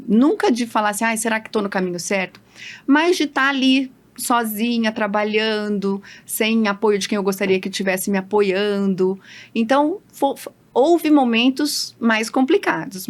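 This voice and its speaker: A woman around fifty with a southern Brazilian accent, talking casually and emphatically as an interview guest, telling stories in a chatty way. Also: medium pitch. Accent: southern Brazilian Portuguese. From southern Brazil, grew up in Londrina, Paraná. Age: late 40s to early 50s. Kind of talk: casual conversational storytelling.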